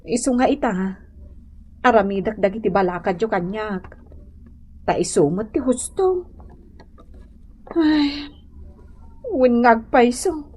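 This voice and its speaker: A woman's voice: a little high, expressive, takes it slowly, pauses often, darkish tone, breathy edge, exaggerated voice. An actress with an Ilocano accent playing a chastened wife trying to mend her ways in a radio drama, speaking hesitantly. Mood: contrite.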